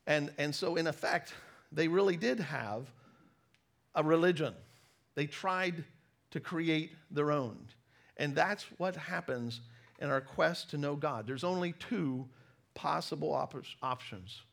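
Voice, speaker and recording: A middle-aged man with an American accent preaches in a fairly high voice. He tells personal stories in a conversational, self-deprecating way. He leans on key phrases and breaks them up with deliberate pauses. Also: gravelly, fairly bright tone. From United States. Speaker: preacher, former missionary. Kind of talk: sermon with personal storytelling.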